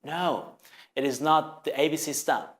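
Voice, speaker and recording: Vietnamese guy, slightly high voice, earnest english lesson, talks right to you. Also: measured pace. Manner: earnest, encouraging, direct to viewer. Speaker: language teacher. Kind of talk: scripted video lesson.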